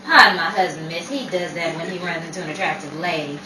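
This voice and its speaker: A woman's voice: on the low side, fast, a crowd in the background, natural voice. A woman acting a dry, scolding wife who explains away her husband in a 1940s radio play. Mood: dry, chiding. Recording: echoey room, distant mic, faint noise.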